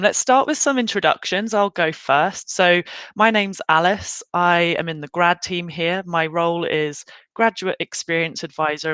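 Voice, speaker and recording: A woman's voice: low-pitched, fairly bright tone, clean tone, no room sound. A woman from the UK hosting a video call, chatty and upbeat as she introduces the session. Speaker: graduate program host.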